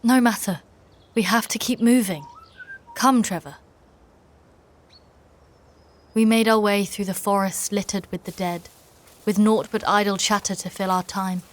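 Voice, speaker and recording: A woman playing a stern, devout knight in a dark fantasy audio drama, speaking and narrating in a formal, measured, archaic way. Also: medium pitch, close to an everyday voice. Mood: grave, determined. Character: battle-weary female knight, stern, devout, formal, solemn narrator of her quest.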